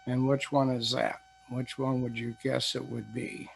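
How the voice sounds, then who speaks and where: Gravelly-voiced man, slow and reflective, casual group sharing. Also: medium pitch, little pitch movement, a little hiss or hum. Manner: slow, reflective, with many restarts. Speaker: community group member.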